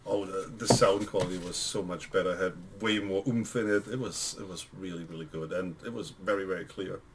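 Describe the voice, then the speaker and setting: A man's voice: sits low, steady tone, fairly quick, very noisy. A man chatting casually as a guest, giving his opinions in an informal conversation. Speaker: guest, friend of the host. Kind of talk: casual chat.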